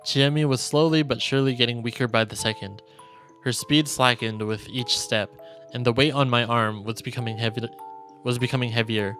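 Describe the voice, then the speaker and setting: A male streamer reading visual novel narration aloud in a solemn, deliberate storytelling manner. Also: mid-range voice, steady tone, fairly bright tone. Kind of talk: dramatic reading of game narration. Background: game music.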